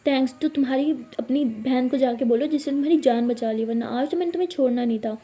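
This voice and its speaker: A woman's voice: high-pitched, fairly quick, mellow, close to an everyday voice. A woman voicing a strict, impatient mother who scolds her daughter firmly. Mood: scolding. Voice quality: firm, scolding.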